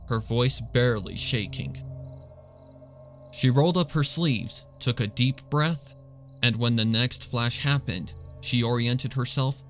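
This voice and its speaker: A man reading a story aloud as a narrator, in an expressive, suspenseful, dramatic style. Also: mid-range voice. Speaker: fan fiction narrator.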